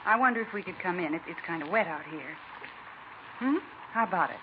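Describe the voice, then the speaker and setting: A woman in 1950s radio acting playing a policewoman who speaks in a soft, coaxing way. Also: on the low side, lively intonation, brisk, lightly acted. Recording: dull old radio broadcast with hiss.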